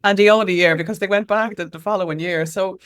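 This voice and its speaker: An Irish woman being interviewed as a campaigner, speaking in an animated, anecdotal way, repeating phrases for emphasis and acting out quoted exchanges. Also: medium pitch, fast talker, clean tone. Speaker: interview guest, music equality campaigner.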